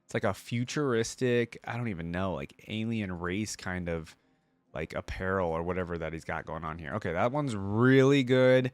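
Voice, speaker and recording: A man with a fairly low voice hosts a reaction video in casual, slangy commentary. He thinks out loud in an informal way, and the recording is dry and close, with little room sound. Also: normal speed, no room sound.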